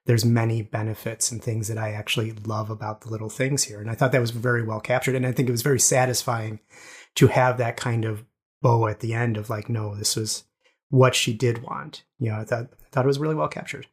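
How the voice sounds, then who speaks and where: A man in a casual podcast interview speaks with a slightly husky voice. He talks eagerly and loosely, circling back to repeat his praise. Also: mid-range voice, flat delivery, fairly quick. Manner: enthusiastic, rambling praise.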